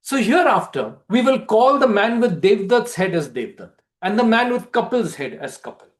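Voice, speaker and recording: A man narrating as a storyteller, giving a theatrical, expressive dramatic reading of a play. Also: high-pitched, normal speed.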